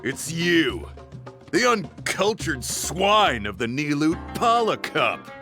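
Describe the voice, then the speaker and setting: A man's voice: a little high, very animated, slow, sharp tone, faint music behind, exaggerated voice. A man playing an arrogant young beast-tamer villain in a video game, with pompous, scornful, theatrical delivery.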